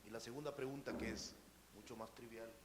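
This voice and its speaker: Man, audience question, mid pitch, hall echo, faint noise. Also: steady tone, a little fast. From El Salvador. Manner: earnest, uses vos. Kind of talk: asking a question at a panel.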